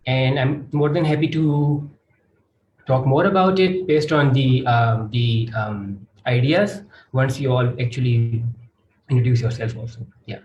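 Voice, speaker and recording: A man's voice: medium pitch, unhurried, darkish tone, lo-fi sound. A man with a Pakistani accent speaking as an academic panelist, explaining his work. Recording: echoey room with faint noise.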